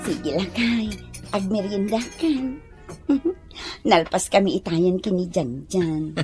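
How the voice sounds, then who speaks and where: A woman acting an irritable, sharp-tongued wife in an Ilocano radio comedy drama, with an Ilocano accent. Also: medium pitch, slow pace, over music, natural voice. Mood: brisk, pleased.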